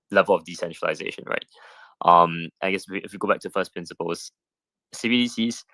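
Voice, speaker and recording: A man's voice: low-pitched, creaky, a bit bright, no room sound. A man speaking unscripted as a panel guest, tentative and thinking aloud as he goes. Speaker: panel guest, head of product at a crypto company.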